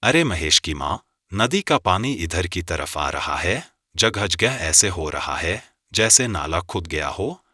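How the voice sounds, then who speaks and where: Guy doing a older sasur in a cartoon, deep but bright forceful voice, practical. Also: big pitch swings, takes it slowly, dry recording, clean studio sound, heavily acted. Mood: urgent. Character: older father-in-law, practical family head. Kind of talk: animated family drama dialogue.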